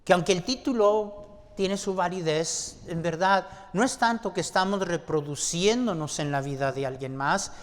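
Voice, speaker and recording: High-voiced man preaching in a reverberant church. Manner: deliberate, with repeated rhetorical questions to the congregation. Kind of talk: sermon. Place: church sanctuary. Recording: long church-hall reverb.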